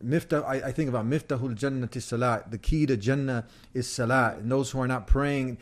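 A man's voice: mid-range voice, brisk. A man interviewing a guest in a conversational way, reacting emphatically with exclamations.

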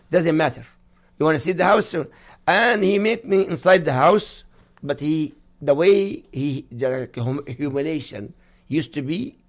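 Older Gaza-born man, halting broken English. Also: on the high side. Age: 60s. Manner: halting non-native English with broken grammar. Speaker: guest. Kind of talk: personal testimony in an interview.

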